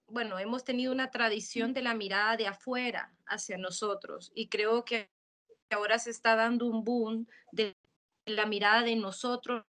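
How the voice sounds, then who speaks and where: A woman from Nicaragua with a Nicaraguan accent, a filmmaker in her thirties or forties, thinking aloud on a panel with many halting restarts. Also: a little high, bright, forceful tone. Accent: Nicaraguan Spanish. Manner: searching, halting, restarts mid-phrase. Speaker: filmmaker asking a question on a panel. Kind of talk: reflective panel discussion.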